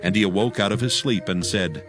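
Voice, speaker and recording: A man narrating scripture from a script in a solemn, measured storytelling manner. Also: on the low side. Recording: clear music under the voice.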